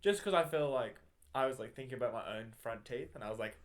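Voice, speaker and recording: A man's voice: mid-range voice, fast talker. A man hosting a podcast in casual banter, teasing and informal, swearing freely. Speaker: podcast host.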